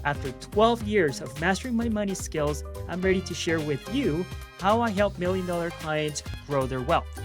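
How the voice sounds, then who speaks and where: A man from Colombia hosting a podcast, upbeat and encouraging, who tends to repeat his phrases as he reacts. His voice sits a little higher than most men's. Faint music plays softly behind him. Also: animated, moderate pace. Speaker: podcast host. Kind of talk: podcast interviewing.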